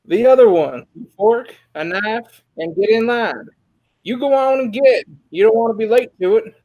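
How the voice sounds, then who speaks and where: A man's voice: sits high, normal speed, muffled recording. A man playing a boisterous, teasing fellow who bosses others around in a comic western script. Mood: teasing, loud. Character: boisterous, teasing man ordering someone into a food line.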